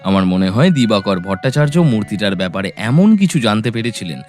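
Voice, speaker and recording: A man acting a sharp, resolute detective in an audio drama, speaking firmly and deliberately as he reasons aloud. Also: lowish voice, very animated. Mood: probing, guarded. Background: background music.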